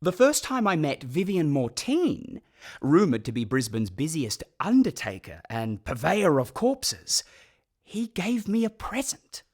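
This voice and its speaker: An actress narrating a period radio drama as a grave, articulate orphan recalling childhood, in formal, literary, reflective language. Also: female voice, deep voice, big pitch swings, measured pace, a little mellow, exaggerated voice. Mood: wry, reflective. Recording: music clearly behind the voice.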